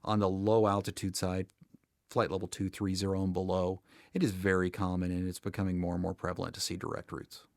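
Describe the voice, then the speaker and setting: A man's voice: deep voice, flat delivery, fairly quick, no room sound. An American man speaking conversationally as an expert podcast guest, in an emphatic, plain-spoken way with dry humor. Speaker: guest air traffic controller.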